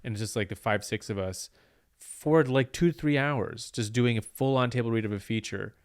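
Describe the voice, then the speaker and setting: A man's voice: medium pitch, normal speed, clear, resonant tone. A man, a comedian, telling a rambling story in casual chat and acting out the quoted lines.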